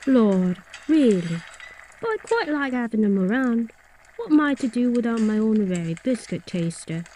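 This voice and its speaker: Actress playing cheeky kindly cook, mellow tone, animated intonation, brisk colloquial teasing, period audio drama. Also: female voice, on the high side, slight background noise. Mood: sympathetic. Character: bossy yet kindly cheeky woman kitchen cook.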